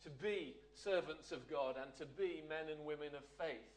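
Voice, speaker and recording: A man's voice: on the high side, normal speed. A man preaching a sermon, often asking the listeners rhetorical questions. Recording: echoey room.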